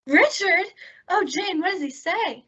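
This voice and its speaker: A woman's voice: extremely high pitch, expressive, a little slow, sharp tone, lo-fi sound, exaggerated voice. A woman playing a sweet, naive, dim-witted young woman in an old-time radio sitcom, guileless and childlike. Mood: naive, eager.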